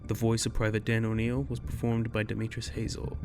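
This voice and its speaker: A man's voice: lowish voice, completely flat delivery, brisk. A man reading a scripted end-credits announcement as the announcer for an audio drama.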